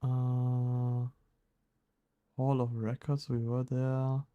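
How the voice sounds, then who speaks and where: A male game streamer with an average-pitched voice. He chats casually, thinks aloud, reads bits of text out and pokes fun at himself. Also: completely flat delivery, very slow, phone-quality sound. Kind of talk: casual gameplay chat, reading clues aloud.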